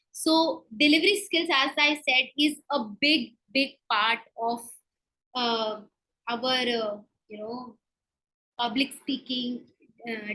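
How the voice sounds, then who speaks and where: A woman speaking as a coach giving an instructional talk, emphatic and with deliberate pauses. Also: a little high, bright, forceful tone, low-quality recording. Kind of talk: instructional presentation to an online group.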